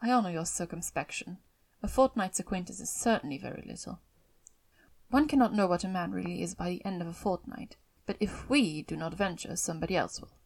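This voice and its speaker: A wry, unruffled gentleman father voiced by a woman narrator in a classic novel audiobook. He teases with formal, measured irony and never hurries to the point. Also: fairly low pitch, vocal fry. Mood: ironic. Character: dry, teasing middle-aged father, wry and unruffled gentleman.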